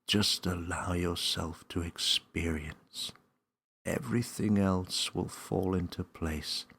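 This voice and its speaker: A wise, calming male spirit from a children's storybook, voiced by a man, very low and husky. He speaks slowly and gently, with solemn strength. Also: exaggerated voice. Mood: gentle, wise.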